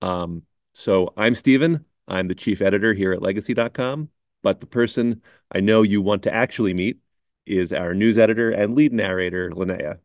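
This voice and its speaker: A middle-aged man who is a chief editor, talking on camera in a measured, wry way. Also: fairly low pitch, a little mellow, no echo. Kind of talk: casual on-camera chat.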